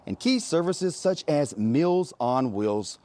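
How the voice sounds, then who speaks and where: A man's voice: a little high, expressive, takes it slowly, darkish tone, no echo. A man working as a TV news reporter, delivering scripted reports and live shots with emphatic, punchy phrasing. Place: mostly outdoor standups on location, some voice-over.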